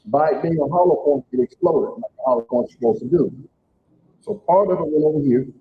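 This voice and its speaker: A man's voice: lively intonation, brisk. A middle-aged man, telling his personal story emphatically to a live audience at a church event. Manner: storytelling, emphatic, plain-spoken. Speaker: speaker at community event. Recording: tinny phone-like sound, faint rumble.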